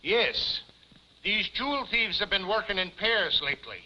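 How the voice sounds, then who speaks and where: A man's voice: high voice, animated, a little slow, sharp tone, slight background noise, full character voice. A man playing a blustering, hard-boiled American police chief in a 1950s television comedy, emphatic and officious but formally courteous. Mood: officious, boastful. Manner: emphatic, officious, addresses woman formally as ma'am. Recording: muffled 1950s television soundtrack.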